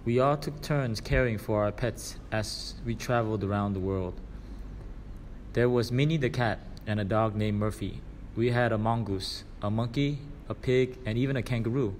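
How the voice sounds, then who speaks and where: Man, lowish voice, scripted storybook narration, slightly noisy recording. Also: normal speed, a little mellow. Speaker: reader.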